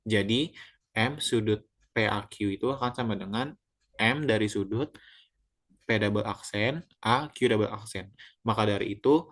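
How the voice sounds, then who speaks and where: Halting young man presenting a proof. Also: fairly low pitch, fairly bright tone. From Indonesia. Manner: halting, with many fillers and self-corrections. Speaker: student presenter. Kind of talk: class presentation of a geometry proof.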